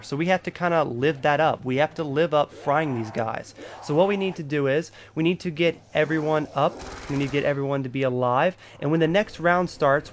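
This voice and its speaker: A man's voice: a little high, slight background noise. A man giving a casual game walkthrough, explaining each step informally as the host. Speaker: gaming video host. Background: game music.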